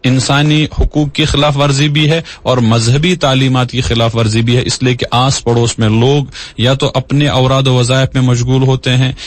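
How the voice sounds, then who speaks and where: A man working as an Islamic scholar, answering questions in a measured, explanatory way with formal diction. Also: mid-range voice, no room sound. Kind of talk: religious question-and-answer talk.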